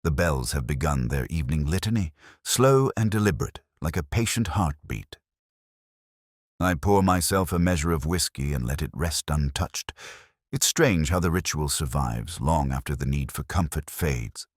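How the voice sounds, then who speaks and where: A man playing a melancholy older English police inspector, delivering a measured, solemn, literary monologue in a radio drama. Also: extremely low pitch, animated, no room sound, clean studio sound. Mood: brooding, wistful. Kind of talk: scripted first-person radio drama monologue.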